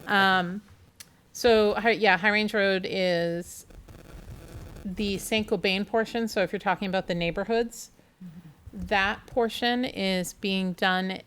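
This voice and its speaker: A woman on town staff giving a brisk, informative update at a town committee meeting. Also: mid-range voice, fairly even intonation, a bit bright, clean tone.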